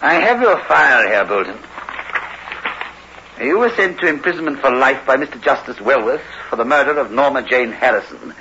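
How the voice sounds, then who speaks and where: An actor playing a middle-aged prison governor in a 1960s radio drama, formal, clipped and condescending. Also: male voice, medium pitch, animated, moderate pace, sharp tone. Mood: sceptical authority. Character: stern, dismissive male prison governor, official and formal. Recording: muffled, noisy old radio recording.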